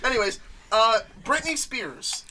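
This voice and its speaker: A woman's voice: a little high, very animated, measured pace, bright, forceful tone. A woman co-hosting a drunk improv podcast, teasing and laughing as she questions guests in a noisy bar. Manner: playful, teasing interviewer, laughs often. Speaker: podcast co-host.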